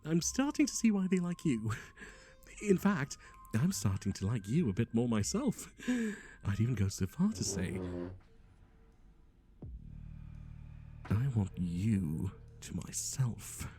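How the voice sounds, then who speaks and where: A man's voice: mid-range voice, wildly swooping pitch, a little slow, mellow, soft background music. A man playing a chatty, playful matchmaker in an ASMR roleplay, with a theatrical, teasing, conversational delivery. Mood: delighted, flirtatious.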